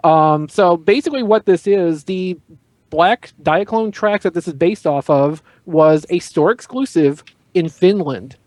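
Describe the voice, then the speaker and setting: Higher-pitched man, dry close sound. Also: a little slow, a little mellow, no echo. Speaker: podcast panelist. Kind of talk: casual chat about collectible toys.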